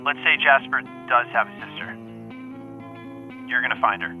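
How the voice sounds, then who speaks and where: An actor playing a curt, stubborn radio host who drives a hard bargain, blunt and demanding, in a mystery audio drama. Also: male voice, medium pitch, expressive, moderate pace, narrow, tinny audio, faint music behind, lightly acted. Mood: firm, demanding.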